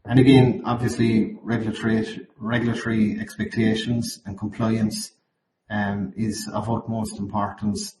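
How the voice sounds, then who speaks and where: Irish male webinar presenter, slightly croaky, monotone, recorded in an echoey space with faint noise. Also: fairly low pitch, a little slow. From Ireland. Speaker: account manager presenting at a webinar. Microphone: video call. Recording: roomy, noisy webinar call audio.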